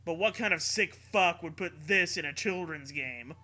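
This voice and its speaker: A man's voice: fairly high pitch, normal speed, sharp tone. A man hosting a comedy show, reading a horror story aloud with mocking, sweary commentary. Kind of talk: dramatic reading mixed with joking commentary. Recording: music behind the voice.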